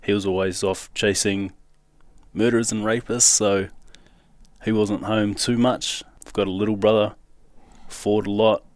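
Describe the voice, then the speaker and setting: A man's voice: fairly low pitch, no room sound. A young man from New Zealand with a Kiwi accent, candidly telling his life story as a podcast guest in a casual, slangy way with nervous laughs.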